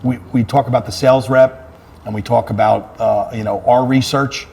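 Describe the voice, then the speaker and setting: A man's voice: mid-range voice, fairly even intonation, moderate pace, gravelly, darkish tone, breathy edge. A man speaking as a fire chief being interviewed, talking about his work in an earnest, conversational way and repeating phrases for emphasis.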